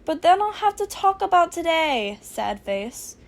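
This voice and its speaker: A woman voicing a sarcastic, dramatic teenage boy hacker in a casual, deadpan way for a fan fiction reading. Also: very high-pitched, unhurried, pitched above the performer's own voice, exaggerated voice. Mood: casual, reluctant.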